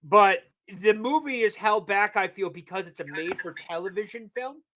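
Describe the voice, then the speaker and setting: A man from New England co-hosting a casual movie review chat, rambling and halting with fillers and restarts. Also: high voice, pushed and bright, slight background noise. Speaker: podcast co-host.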